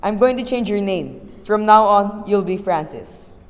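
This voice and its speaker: A woman's voice: medium pitch, animated, a little slow. A woman playing a stern Filipino father, echoing reverb. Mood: bossy, irritated. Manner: lecturing, emphatic. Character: stern, old-fashioned, easily exasperated Filipino father. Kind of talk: amateur English radio play acting. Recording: reverberant hall-like echo.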